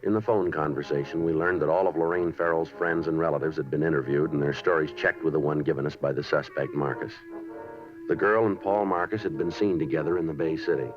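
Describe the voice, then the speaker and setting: An actor in a 1950s radio drama playing a methodical police detective, clipped, factual and deadpan. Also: male voice, a bass voice. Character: terse, methodical male police detective narrating his case. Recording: muffled 1950s radio with music behind.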